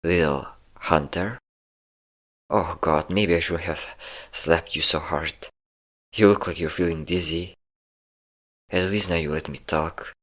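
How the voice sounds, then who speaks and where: Man acting as ancient male vampire, low-pitched voice, flirtatious, taunting, menacing, intimate audio roleplay. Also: steady tone, a bit bright. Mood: smug, taunting. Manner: taunting, flirtatious, slow endearments.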